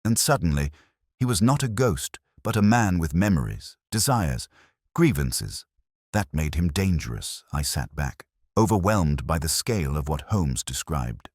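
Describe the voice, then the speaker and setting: Very deep-voiced male story narrator. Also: big pitch swings, unhurried, no room sound, clean studio sound. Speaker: audiobook narrator. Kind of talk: scripted dramatic story narration.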